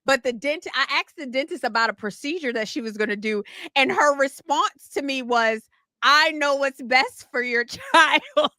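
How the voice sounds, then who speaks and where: Sassy high-voiced woman co-host. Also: expressive, normal speed, pushed and bright, dry recording. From Maryland, United States. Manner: sassy, emphatic, incredulous. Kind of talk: casual political chat.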